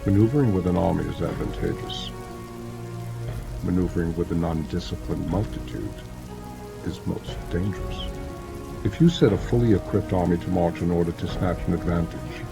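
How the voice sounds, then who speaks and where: Very deep-voiced man narrating, measured and solemn. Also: gravelly. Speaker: audiobook narrator. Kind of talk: dramatized scripted narration of an ancient military treatise. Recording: music and rain behind the voice. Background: background music with falling rain.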